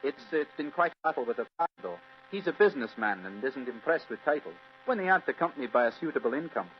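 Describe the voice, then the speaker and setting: Man playing an earnest, animated young heir. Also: mid-range voice, quick pace, soft background music, lightly acted. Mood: earnest, happy. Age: young adult. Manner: polite, earnest. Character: earnest, polite young heir, newly engaged. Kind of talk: old-time radio drama acting. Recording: dull vintage radio recording.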